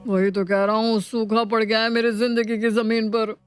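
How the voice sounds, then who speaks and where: A woman's voice: a little high, flat delivery, normal speed, smooth, faint music behind, lightly acted. A woman voicing a grumpy, self-pitying young husband who complains his way through a domestic story. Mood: grumbling. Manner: complaining, dismissive.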